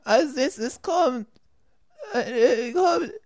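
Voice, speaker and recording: A man's voice: very high-pitched, expressive, very slow, a little mellow. A man giving casual, sarcastic commentary as he presents clips.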